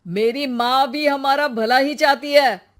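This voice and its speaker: A woman's voice: high voice, steady tone, takes it slowly, voice pushed up. Woman voicing a blunt, short-tempered young husband. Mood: angry. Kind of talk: Hindi cartoon story dialogue.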